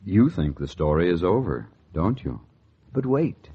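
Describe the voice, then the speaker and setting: Male suspense announcer, slow and swooping, dramatic, ominous, muffled vintage radio sound. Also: low-pitched, very animated, husky.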